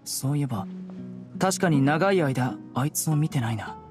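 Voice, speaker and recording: A man's voice: a little high, animated, moderate pace, natural voice. Man voicing a grave young male investigator, restrained, matter-of-fact, game drama, guitar-like music behind. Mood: pensive, resolute. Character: stern, composed young male judge and investigator. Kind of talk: Japanese game voice acting. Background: plucked-string background music.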